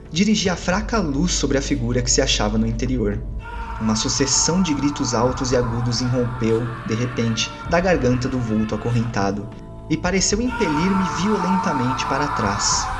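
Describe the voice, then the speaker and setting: Solemn male storyteller over faint music. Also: medium pitch. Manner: measured, theatrical, solemn. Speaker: narrator. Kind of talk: dramatic reading of a gothic horror story. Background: faint background music.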